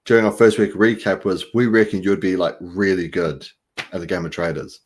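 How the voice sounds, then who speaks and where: A man's voice: sits low, steady tone, a little fast, husky. A young man with an Australian accent hosting a casual podcast interview, laid-back and full of slang. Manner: laid-back, slangy, says "bro" and "reckon". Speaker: podcast host.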